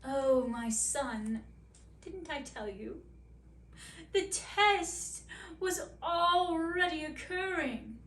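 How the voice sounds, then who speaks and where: A woman's voice: high voice, animated, drawn-out words, a bit bright, voice pushed up, exaggerated voice. A woman voicing a regal, scheming older queen who is sly and pleased with herself, speaking grandly and slipping into a knowing whisper. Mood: gracious. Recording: roomy, distant mic, faint hiss.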